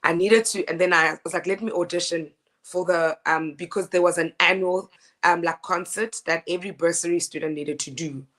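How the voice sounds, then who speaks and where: Woman, deep low speaking voice; animated interview guest, laughs easily. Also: moderate pace. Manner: animated, laughing storyteller with frequent restarts. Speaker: opera singer guest. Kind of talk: casual interview chat.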